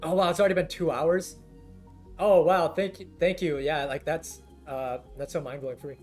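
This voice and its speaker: A young man streaming a game, chatting to viewers in a halting, rambling way full of fillers, his voice scratchy and worn down from talking for hours. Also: on the high side, fairly even intonation, a little mellow, soft background music. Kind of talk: casual live-stream chat with viewers.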